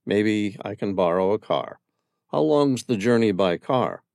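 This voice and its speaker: A man's voice: lowish voice, lively intonation, measured pace, gravelly, a little mellow, dry recording, studio quality. A man reading aloud as a curious male stranger who keeps asking pointed questions. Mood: probing. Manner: inquisitive, persistent questioning.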